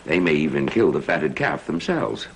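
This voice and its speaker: An actor playing a sinister, scheming cult man in a gothic soap opera, with measured theatrical speech. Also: extremely low pitch, big pitch swings, gravelly, noisy background, exaggerated voice. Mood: dismissive, sardonic. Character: scheming male cult member plotting with a coven.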